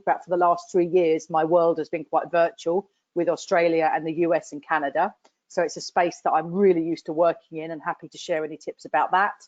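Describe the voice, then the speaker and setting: A woman's voice: fairly low pitch, darkish tone, no echo. A woman from the UK who recruits graduates, introducing herself on an online panel with confident, fluent speech. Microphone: video call. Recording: dry, dull video call audio.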